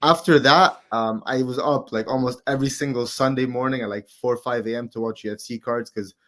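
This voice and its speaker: A man in his twenties from Qatar, a guest in a casual interview, talking in a relaxed, rambling way with lots of fillers. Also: mid-range voice, a little fast. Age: 20s. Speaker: guest, amateur MMA fighter.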